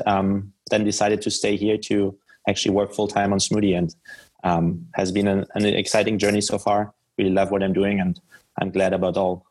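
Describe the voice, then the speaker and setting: A man's voice: on the low side, poor audio. A man from Germany with a German accent, answering conversationally as a guest in a podcast interview. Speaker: podcast guest, startup founder.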